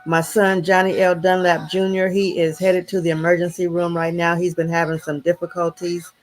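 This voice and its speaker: A woman's voice: on the low side, level tone, normal speed, soft background music, low-quality recording. A woman with a stuffy nose hosting a morning motivation live stream over a choppy internet connection, calling listeners to wake up. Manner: repeats rousing wake-up calls. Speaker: morning show host. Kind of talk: upbeat live-stream pep talk. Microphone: live-stream over internet with a poor connection.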